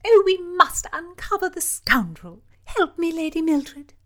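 Brief: woman voicing an imperious princess, high, bright and commanding. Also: big pitch swings, measured pace, full character voice. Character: haughty furious young princess.

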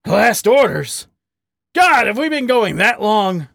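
A man's voice: high voice, very animated, takes it slowly, creaky, fairly bright tone, no room sound, clean studio sound, voice pushed up, heavily acted. A man voicing a hot-tempered, wounded male badger in a dramatic story reading, snappy, indignant and emotional. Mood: grumbling. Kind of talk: dramatic audiobook character reading.

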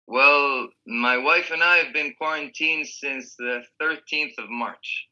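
A man whose first language is Spanish, a guest chatting casually over a call from home, relaxed and restarting his words. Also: on the high side, takes it slowly, poor audio. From Rio de la Plata region. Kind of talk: casual remote interview chat. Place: home in Spain.

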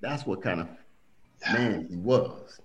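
A middle-aged man recounting family history in relaxed conversation. His voice sits low. He livens up and jumps in pitch whenever he acts out what someone said. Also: slow, muffled recording. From Milwaukee. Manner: animated storyteller, acts out quotes with big pitch jumps. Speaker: guest telling family stories. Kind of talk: casual storytelling conversation.

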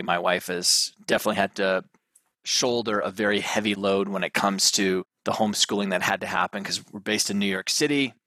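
A man's voice: fairly low pitch, sharp tone, no room sound. A man in his thirties from Canada, a guest talking casually and thinking aloud with many restarts. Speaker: guest, mental performance coach. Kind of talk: casual interview chat.

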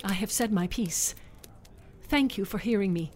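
A man voicing a shrewd, pleading widowed village woman in a storybook retelling, with a soft, breathy voice. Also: sits high, moderate pace, pitched above the performer's own voice, close to an everyday voice. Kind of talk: storybook narration.

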